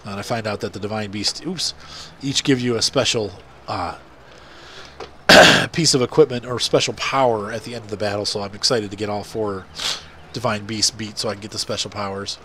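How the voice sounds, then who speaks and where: A man's voice: lowish voice, normal speed, bright, forceful tone, slight background noise. A middle-aged man with a congested, stuffy nose, chatting casually while playing a farming game for his channel. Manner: rambling, chatty, many fillers. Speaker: gaming channel host. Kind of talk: casual gameplay commentary.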